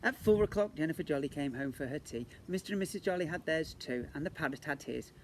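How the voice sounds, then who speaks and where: Animated man reading a children's story outdoors. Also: fairly high pitch, quick pace. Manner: playful, addresses children with questions and asides. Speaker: teacher reading to young children. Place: outdoors in a garden. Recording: faint outdoor background noise.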